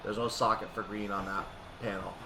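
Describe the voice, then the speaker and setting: A man's voice: on the low side, little pitch movement, fairly quick. Methodical man commentating over noisy background hum. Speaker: video game streamer playing a train simulator. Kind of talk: gameplay commentary, thinking aloud.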